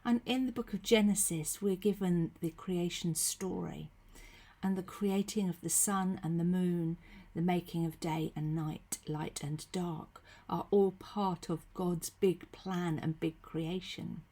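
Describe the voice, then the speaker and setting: A woman speaks into her computer from a room at home, her voice husky and croaky from a cold. She talks gently and chattily, slightly low in pitch, with soft asides and plenty of ums as she gives a short devotional. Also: fairly even intonation, a little slow. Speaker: faith leader giving a short devotional. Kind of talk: casual devotional talk to camera. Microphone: computer webcam.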